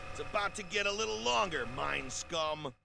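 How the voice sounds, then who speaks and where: An actor playing a menacing, taunting guard in a sci-fi video game, with a gravelly voice, captured from game audio. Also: male voice, sits high, lively intonation, normal speed, music in the background, full character voice.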